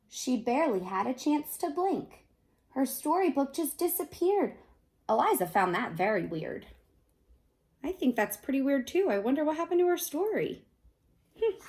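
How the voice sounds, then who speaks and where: A woman reading a children's picture book aloud expressively, pausing to ask her young listeners questions. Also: sits high, darkish tone. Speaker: read-aloud host, storyteller.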